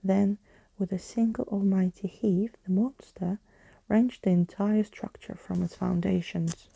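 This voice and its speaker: A woman gives a gentle ASMR storybook reading. Her voice is very mellow and breathy, with creaky edges. She keeps the delivery hushed and soothing, as if for bedtime. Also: medium pitch, fairly even intonation, a little slow, no room sound, rough recording. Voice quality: soft, breathy. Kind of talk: soft-spoken and whispered ASMR storybook reading.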